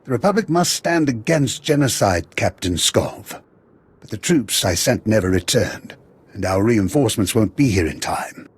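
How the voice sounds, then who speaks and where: An actor playing a dignified, earnest leader in a video game, husky and gravely formal. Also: male voice, lowish voice, animated, normal speed. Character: earnest, dignified male Republic leader giving briefings. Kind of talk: video game cutscene acting.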